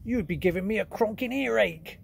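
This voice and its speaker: A man voicing a big, gentle male giant who mangles his grammar and invents words, in a storybook reading. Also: fairly quick, vocal fry, slight background noise. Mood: pained. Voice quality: booming, mangles words playfully. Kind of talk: audiobook character voice.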